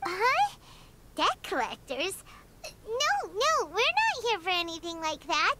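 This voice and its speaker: A woman voicing a tiny, chirpy female companion sprite in a video game who reacts excitably to everything. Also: very high-pitched, slow, very noisy, exaggerated voice. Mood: surprised. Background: game music.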